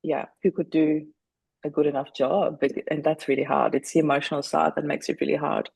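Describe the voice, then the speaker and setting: A middle-aged woman speaks in a gravelly, fry-laden voice. Her delivery stays flat and level as she talks earnestly, restarting her sentences now and then. The sound is dry and close, with no room around it. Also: deep voice, fairly quick, dry recording. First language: German. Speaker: guest. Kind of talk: candid interview conversation.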